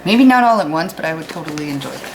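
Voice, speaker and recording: A woman's voice: deep voice, lively intonation, racing, a little hiss or hum, rough recording. An American woman teaching children in a read-aloud lesson, explaining informally and putting questions to her young listeners. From United States. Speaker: fifth-grade teacher.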